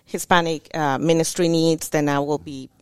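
A woman's voice: low voice, steady tone, moderate pace, darkish tone, smooth, no echo, clean studio sound. A woman from Mexico, a Spanish speaker, talking as a guest on a podcast in a chatty way with frequent fillers. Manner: chatty, laughs often, many fillers. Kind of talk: casual podcast interview.

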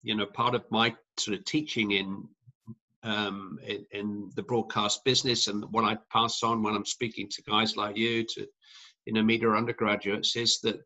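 A man's voice: fairly low pitch, sharp tone, low-quality recording. A middle-aged man, a sports commentator, giving long, rambling interview answers full of restarts and fillers.

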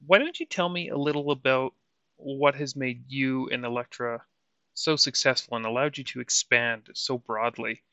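A man's voice: mid-range voice, moderate pace, pushed and bright, dry recording, muffled recording. A man hosting a podcast, upbeat and enthusiastic as he interviews guests and reads promos. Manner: upbeat, enthusiastic host patter. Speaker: podcast host. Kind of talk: podcast interview and read ads.

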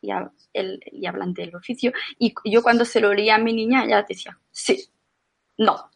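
A woman's voice: mid-range voice, steady tone, normal speed, creaky. A middle-aged woman from Menorca, chatting as a podcast guest and often restarting her sentences. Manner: chatty, with frequent restarts and fillers. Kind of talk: casual conversation.

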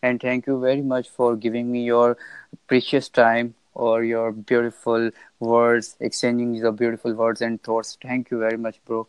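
A polite young man in his twenties who talks haltingly, with many restarts, on a video call. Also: medium pitch, monotone, smooth, no room sound, poor audio. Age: 20s. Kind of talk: casual conversation.

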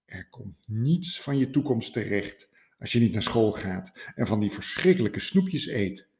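A man's voice: lowish voice, sing-song, a little slow, gravelly, darkish tone, heavily acted. A man voicing an anxious, fussy male rabbit father in a storybook, scolding and fretful. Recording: roomy echo.

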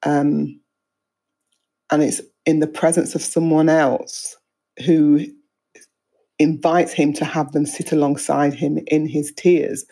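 Counsellor host, calm and measured, dark gravelly voice. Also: mid-range voice. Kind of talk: calm interview conversation.

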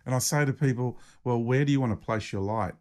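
A man's voice: mid-range voice, fast, darkish tone. A man hosting a spiritual podcast, talking in an enthusiastic, affirming way and often repeating words as he goes. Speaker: podcast host.

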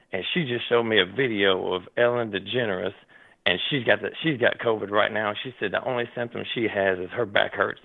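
A raspy, hoarse man talks over a tinny phone line. His voice is gravelly and his talk rambles, full of fillers and restarts. He sounds worked up and animated as a caller to a talk show. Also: on the low side. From Tennessee. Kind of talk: casual call-in chat.

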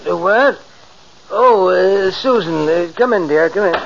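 A man's voice: high voice, unhurried, vocal fry. An actor playing a stubborn, skeptical middle-aged financier and father, emphatic and theatrical in 1940s radio style. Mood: groggy, distracted. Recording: muffled, noisy old radio recording.

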